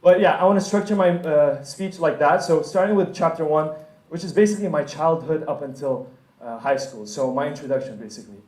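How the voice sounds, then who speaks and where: A man's voice: on the high side, a little fast, darkish tone, roomy sound. A young man from the UAE giving a casual, chatty talk to students, with lots of fillers and frequent laughs. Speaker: conference speaker. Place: room with an audience.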